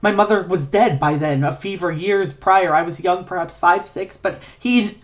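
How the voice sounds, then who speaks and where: A woman's voice: lowish voice, voice pushed up, close to an everyday voice. A terrified young man played by a woman in a dramatic story, stammering and pleading. The sentences break off and circle back as the horror is recounted. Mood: shaken, halting. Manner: halting, repetitive, breaking off mid-sentence. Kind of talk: dramatic fan fiction reading.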